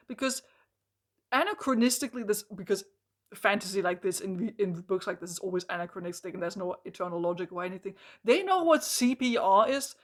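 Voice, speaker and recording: Hoarse woman ranting about a book. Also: a little high, fairly bright tone. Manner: exasperated, emphatic ranting with frequent "like" and restarts. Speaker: book reviewer.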